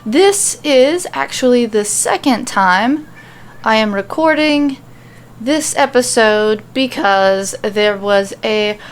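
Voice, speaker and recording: A woman hosting a podcast, informal and self-deprecating, who talks casually and reads out fan fiction. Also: mid-range voice. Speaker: podcast host and story reader.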